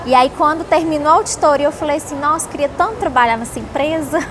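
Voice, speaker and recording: A woman's voice: sits high, quick pace. A woman from Salvador in Brazil answering interview questions with casual personal storytelling. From Salvador, Bahia, Brazil. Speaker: interviewee, company employee. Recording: noisy background with vehicle sounds.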